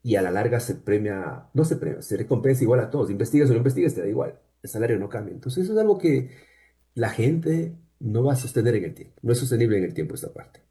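A man with a Cuenca, Ecuador accent, speaking in a warm, mellow voice at a middling pitch. He answers as a researcher in a measured, explanatory way, pausing often to gather his thoughts. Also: expressive. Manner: measured, explanatory, with frequent "eh" pauses. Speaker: university researcher, guest. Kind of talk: conversational interview answers.

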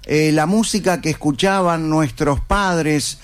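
Man, Argentine accent, high-pitched voice, interview host, informal, conversational. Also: slow pace, smooth.

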